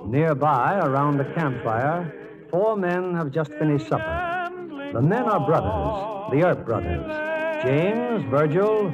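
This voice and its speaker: A man hosting and narrating a 1940s radio theatre in a formal, theatrical scripted style. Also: fairly high pitch. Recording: muffled 1940s radio broadcast.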